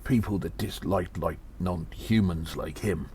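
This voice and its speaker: A very deep, slow-talking gnome sleuth, acted by a man, weary and grave as he ponders a conspiracy in an audio drama. He speaks in deliberate, halting phrases with sighing breaths, over faint background noise. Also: darkish tone. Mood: grave, pondering. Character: weary gnome investigator reporting on a spy conspiracy.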